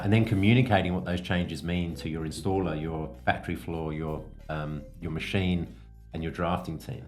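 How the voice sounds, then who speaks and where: A man giving an informal explanatory coaching talk as a podcast host, explaining things step by step with examples in a conversational way. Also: sits low.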